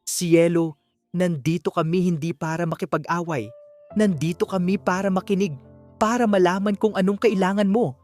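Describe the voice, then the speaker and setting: A narrator voicing an earnest, determined man in an audiobook, speaking in a gentle, measured, reassuring way. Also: mid-range voice, fairly even intonation, faint music behind, close to an everyday voice.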